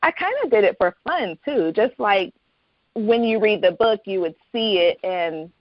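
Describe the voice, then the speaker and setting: A woman's voice: mid-range voice, expressive, measured pace, dry recording, muffled recording. A woman speaking as a guest author in a conversational, reflective way. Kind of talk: reading aloud from own novel, then interview chat.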